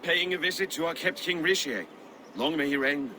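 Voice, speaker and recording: An actor playing a proud, scheming, hard-drinking Viking warrior lord in a video game, hearty and commanding. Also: male voice, fairly high pitch, moderate pace, bright, forceful tone, slight background noise. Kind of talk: video game dramatic acting.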